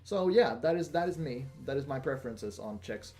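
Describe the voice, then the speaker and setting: A young man hosting a casual Q&A video, talking in a flat, monotone way with lots of fillers and restarts. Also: medium pitch, a little fast, faint music behind. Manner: monotone, rambling with many fillers. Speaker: gaming channel host. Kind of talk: casual Q&A monologue.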